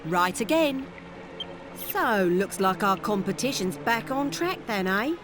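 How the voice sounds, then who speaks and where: An actress playing a cocky young treasure-hunting thief in a video game, with an English accent and slangy, teasing talk. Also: female voice, medium pitch, expressive, measured pace, music in the background, close to an everyday voice. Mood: smug teasing. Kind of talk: video game cutscene acting.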